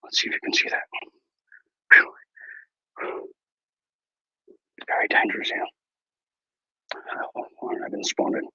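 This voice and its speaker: A man playing a bumbling, boastful wilderness ranger who hosts a mock nature show, talking in a mock Australian accent with hushed, mock-serious narration and a husky voice. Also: slow, long pauses, no room sound, in a whisper, lo-fi sound. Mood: hushed bravado. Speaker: mock wildlife show host. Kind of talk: improvised parody nature documentary.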